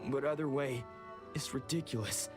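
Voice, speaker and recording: A man's voice: medium pitch, fairly even intonation, moderate pace, natural voice. A man playing an exasperated, down-on-his-luck samurai in an anime game, sounding weary and defensive. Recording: music behind the voice.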